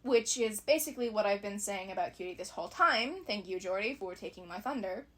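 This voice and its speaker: A woman's voice: mid-range voice, expressive, fairly quick, fairly bright tone. A woman giving conversational scripted commentary as a fan video essayist, analytical and opinionated with wry asides.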